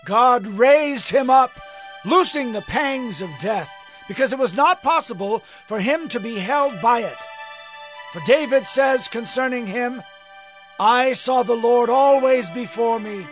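An actor playing Peter, a bold, fervent apostle addressing a crowd in a declamatory raised voice in a scripture audio drama. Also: male voice, very high-pitched, unhurried, a bit bright, soft background music. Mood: fervent, confident.

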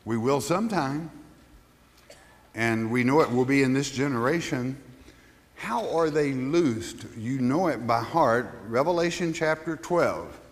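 Pastor in a reverberant hall. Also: male voice, medium pitch, expressive, measured pace, slight background noise. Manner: conversational, asks the listeners questions, reads scripture aloud. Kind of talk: Bible study teaching.

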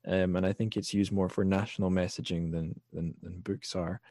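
A man's voice: deep voice, level tone, normal speed, dark tone, no echo, clean studio sound. A man hosting a podcast, asking questions in a casual, conversational interview style. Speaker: podcast host.

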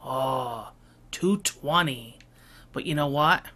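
A man streaming a video game with casual commentary and low-key reactions to himself, half muttered. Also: takes it slowly, pushed and bright. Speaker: gaming streamer. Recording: game music behind. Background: video game music.

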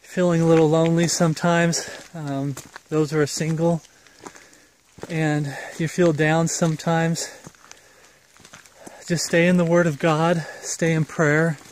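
A man's voice: fairly high pitch, level tone, a little slow, slight background noise. Earnest middle-aged man preaching on a walk. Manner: earnest, rambling, exhorting with fillers; walking while talking. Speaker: street preacher. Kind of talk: informal walking sermon. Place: foggy woodland trail outdoors. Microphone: phone camera held at arm's length.

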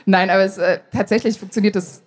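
A woman's voice: medium pitch, a little mellow, husky. A woman in her thirties chatting casually as a guest in a live interview, often interrupting herself. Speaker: podcast author being interviewed. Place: small stage at a book fair. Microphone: handheld microphone.

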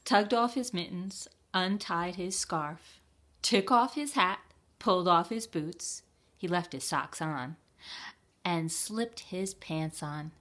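A woman reading a children's picture book aloud in a deliberate, expressive storytime style. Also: lowish voice. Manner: deliberate, expressive, with playful sound words. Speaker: storytime reader at a library.

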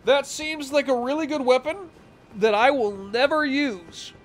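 A young man streaming a game from a small home room, sounding nasal and congested, rambling and breaking into loud outbursts. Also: very high-pitched, measured pace. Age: young adult. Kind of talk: live gameplay commentary. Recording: game sound clearly underneath.

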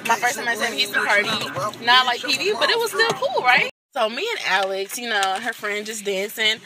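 A woman's voice: on the high side, animated, a little fast, very noisy. A young woman vlogging about a college visit in a chatty way, filled with "like," filmed on a camera held at arm's length in a car and dorm rooms. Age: young adult. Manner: chatty, fills talk with "like". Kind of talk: casual vlog chat. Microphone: handheld camera at arm's length. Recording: loud music behind.

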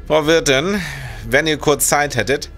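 A man's voice: fairly high pitch, measured pace, fairly bright tone. A man playing a hearty, persistent police constable with a wry, insistent manner in a historical radio play. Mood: probing.

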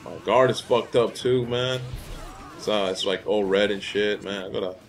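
A man's voice: lowish voice, fairly even intonation, normal speed, faint music behind. A young man from the Dominican Republic giving casual, profane commentary while playing a fighting game, ranting and easily irritated. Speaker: gameplay commentator.

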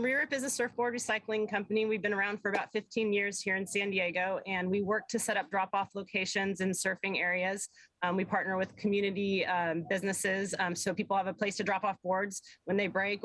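A woman in her fifties, a surfboard recycling co-founder, speaking in a relaxed way with many pauses in a remote TV interview. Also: medium pitch, no room sound, rough recording. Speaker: co-founder, guest. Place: outdoors with surfboards.

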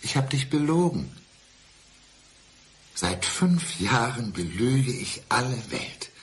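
A man's voice: medium pitch, sing-song, slow pace, slight background noise. An actor playing a brooding, deceitful, despairing man in a radio crime drama, speaking with intense deliberation. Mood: probing, intense. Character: brooding, deceitful man feigning blindness, despairing, country-dwelling.

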